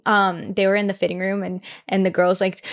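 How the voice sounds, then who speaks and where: A woman in her twenties chatting candidly as a podcast guest, rambling with lots of "like" fillers and animated retellings. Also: medium pitch, a little fast. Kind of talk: candid conversational chat.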